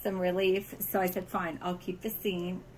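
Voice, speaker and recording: Low-voiced American woman, faintly noisy audio. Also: little pitch movement, normal speed, darkish tone. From California, USA. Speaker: virtual world builder giving a tour. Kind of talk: casual tour chat.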